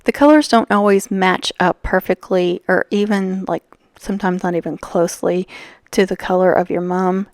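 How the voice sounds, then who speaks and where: A woman's voice: fairly low pitch, fairly even intonation, moderate pace, no echo. A woman with a scratchy voice talking casually through a craft tutorial, rambling and chatty with lots of fillers. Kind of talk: casual voice-over while crafting.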